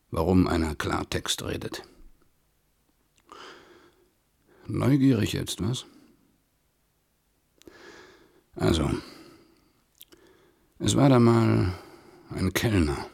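Male manager, deep and rough-edged, clipped wry storyteller for radio drama. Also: a little mellow, exaggerated voice. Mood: wry, confiding. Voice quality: husky. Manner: clipped, halting, colloquial storytelling.

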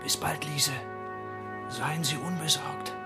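An actor playing a secretive investigator in a radio crime drama, confiding and urgent yet reassuring. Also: male voice, a little high, steady tone, slow pace, music in the background. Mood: conspiratorial.

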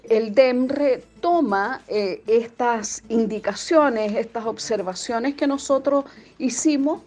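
A woman, an education official explaining something in measured phrases. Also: on the high side, a little mellow. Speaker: municipal education director. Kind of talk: explanatory interview statement.

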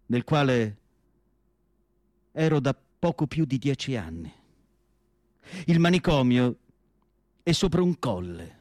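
Actor as solemn, melancholic doctor-writer, higher-pitched male voice. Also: no echo, clean studio sound, lightly acted. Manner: measured, solemn, theatrical recitation with deliberate pauses. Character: male asylum doctor-writer, reflective, melancholic, writing his farewell diary. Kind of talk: literary radio drama monologue.

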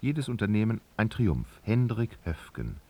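A man's voice: fairly low pitch, sing-song, normal speed, creaky, mellow, exaggerated voice. A man narrating a literary radio drama, reading expressively and dramatically.

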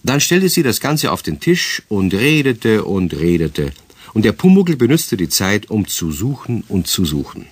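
Casting: children's radio-play narrator, low husky voice, animated storytelling, dry sound. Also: male voice, unhurried, dry recording, exaggerated voice. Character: lively storyteller addressing children.

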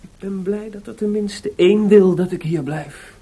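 A man's voice: high-pitched, moderate pace, mellow, slightly rough. A man acting in a Dutch radio play as a playful, flirtatious, restless composer with a teasing, mock-formal manner. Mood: sulky, playful.

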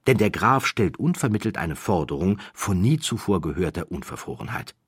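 Deep, husky male audiobook narrator. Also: very animated, normal speed, dry recording, studio quality. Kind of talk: scripted storytelling narration. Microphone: close studio microphone, dry.

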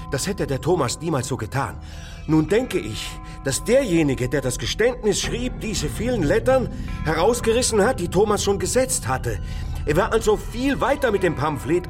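An anxious craftsman with a high, animated voice. He reports urgently and earnestly in radio play style, with music clearly behind him. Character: anxious, eager craftsman and informant. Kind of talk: German radio play acting.

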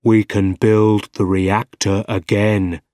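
Insidious machine mind , acted by a male narrator: very slow, deliberate, low-key storybook voice in the head. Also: little pitch movement, a little mellow, dry recording, studio quality. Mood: coaxing.

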